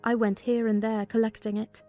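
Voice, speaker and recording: A woman plays a sensible housekeeper telling a story from a classic novel. Her fairly high voice is measured in narration and turns firm and scolding in dialogue, over soft music. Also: little pitch movement, close to an everyday voice. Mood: measured, concerned. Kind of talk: dramatized first-person narration of a classic novel.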